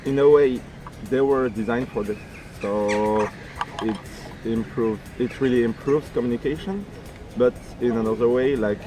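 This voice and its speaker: A man's voice: medium pitch, unhurried, low-quality recording. Hesitant man with music behind. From France. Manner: hesitant, searching for words. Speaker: interviewee. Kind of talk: street vox pop.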